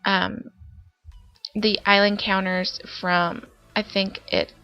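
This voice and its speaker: A young woman talks through her game build in a loose, rambling way, full of ums and side remarks. She sounds stuffy and congested from a cold, and her voice has a gravelly, creaky edge. The voice-over is a bit dull and lo-fi, with soft music playing underneath. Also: medium pitch, little pitch movement, takes it slowly, no echo. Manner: chatty, rambling with many fillers. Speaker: Sims building YouTuber. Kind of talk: casual voice-over narration of gameplay.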